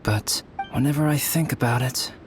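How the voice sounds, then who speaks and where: A man playing a calm, brooding young alchemist in a video game, speaking in a measured, articulate, courteous way like a reflective storyteller. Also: medium pitch, over music, natural voice. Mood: wistful, reflective. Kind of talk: scripted video game dialogue.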